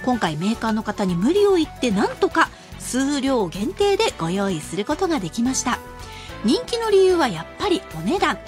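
Woman, high-pitched, animated intonation, radio shopping presenter, emphatic sales delivery, music bed. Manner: emphatic, slowing to spell out prices and numbers.

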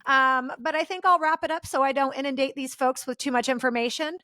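A woman's voice: sits high, steady tone, brisk, pushed and bright, no echo, studio quality. A woman with an American accent hosting a casual, chatty interview podcast in an earnest, informal and effusive way. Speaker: podcast host.

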